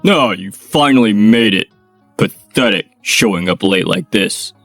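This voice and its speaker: A man voicing a bullying middle-aged company president in a manga dub, with a gruff, contemptuous manner and a lower, rougher voice than his own. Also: lively intonation, unhurried, a bit bright, no room sound, soft background music, exaggerated voice. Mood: impatient.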